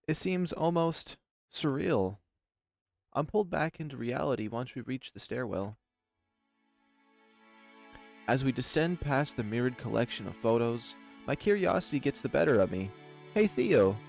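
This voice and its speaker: A man narrates a visual novel in the first person. His delivery is expressive storytelling, with music playing beneath his voice. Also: medium pitch. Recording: music under the voice. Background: background music.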